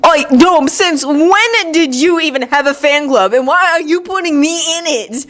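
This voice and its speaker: A woman performs a cocky, stubborn young ninja boy from an adventure story. The voice is high and very animated, with loud, emphatic bragging and a cheery streak. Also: a little fast. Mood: cheeky protest.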